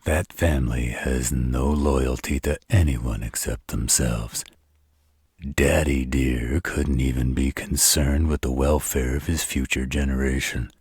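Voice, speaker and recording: A man acts out a gruff supernatural bodyguard for a roleplay audio drama. The voice is extremely low and heavily husky, with slow, deliberate delivery. He sounds world-weary and protective, talking in blunt slang straight to the listener. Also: a little mellow, no echo, clean studio sound, full character voice.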